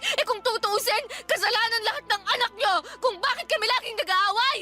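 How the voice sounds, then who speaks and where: A woman's voice: very high-pitched, fairly quick, pushed and bright, soft background music. A woman playing an aggrieved, combative young live-in partner and mother in a Filipino radio drama, protesting defiantly. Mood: bitter, outraged. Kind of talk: Tagalog radio drama acting.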